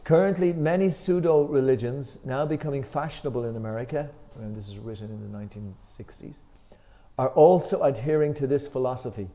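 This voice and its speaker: A man with an Irish accent leading a scripture discussion, reading a commentary aloud with emphasis and then explaining it in a relaxed way, with some fillers. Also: medium pitch, brisk.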